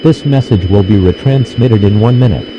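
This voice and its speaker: Guy doing stern emergency alert announcer, lowish voice, dull noisy radio sound. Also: moderate pace, close to an everyday voice. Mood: grave, official. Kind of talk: scripted emergency broadcast announcement.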